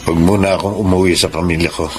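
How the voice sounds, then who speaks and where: A man's voice: deep voice, steady tone, very fast, a little mellow, a little hiss or hum, close to an everyday voice. A man with a Filipino accent playing a guilty, affectionate, unfaithful husband in a radio drama. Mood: subdued, tender.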